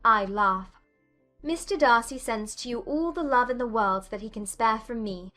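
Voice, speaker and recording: A woman acts a spirited young gentlewoman from a period romance audiobook. Her voice is fairly high, witty and teasing, with music playing behind it. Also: moderate pace. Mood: joyful, affectionate.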